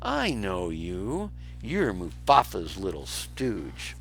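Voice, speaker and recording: A man's voice: sits low, huge pitch swings, vocal fry, a little hiss or hum, heavily acted. Man putting on a taunting, menacing hyena woman. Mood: mocking menace. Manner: taunting, drawn-out delivery. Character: menacing, mocking female hyena in a pack. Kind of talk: children's storybook read aloud.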